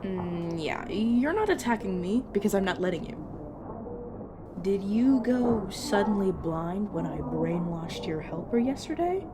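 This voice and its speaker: Woman acting casual wry captor lady explaining stuff in an audio rp, very expressive, loud sfx in background. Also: medium pitch, a little slow, noisy background. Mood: wry, matter-of-fact. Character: brisk, casual female magic-wielding captor and guide. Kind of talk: narrative audio roleplay, conversational explaining to the listener. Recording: loud sound effects and ambience behind.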